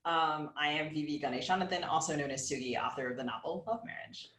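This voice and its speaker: A woman's voice: low-pitched, fast talker, fairly bright tone, roomy sound. A woman co-hosting a podcast, articulate and wry as she introduces and questions guests. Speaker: podcast co-host, novelist.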